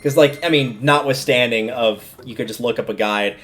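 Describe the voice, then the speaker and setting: A man's voice: medium pitch, normal speed, fairly bright tone. A man giving casual, rambling livestream commentary on a video game, often stopping to explain things.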